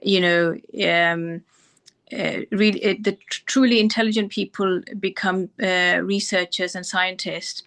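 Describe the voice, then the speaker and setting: A woman's voice: lowish voice, steady tone, measured pace, a bit bright. A middle-aged woman doctor from Pakistan, answering interview questions thoughtfully with frequent restarts. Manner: thoughtful, many restarts and fillers. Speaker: doctor guest.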